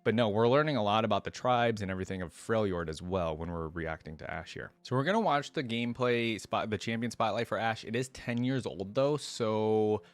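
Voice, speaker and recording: A man's voice: on the low side, fairly quick, hardly any pauses, clear, resonant tone, no room sound. A man giving casual, conversational reaction commentary on a video game, rambling with frequent asides.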